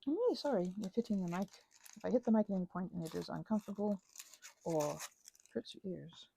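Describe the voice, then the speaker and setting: Soft husky woman, rambling craft ASMR. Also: medium pitch, expressive, fast talker. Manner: hesitant, meandering, self-interrupting. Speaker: host of a craft ASMR channel. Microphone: close mic.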